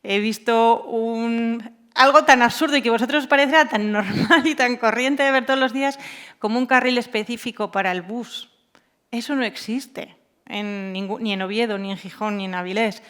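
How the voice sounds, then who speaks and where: A woman's voice: a little high, normal speed, a bit bright, clean tone. A woman speaking informally on a panel, colloquial and anecdotal, repeating words for emphasis. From Asturias, Spain. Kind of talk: informal round-table talk.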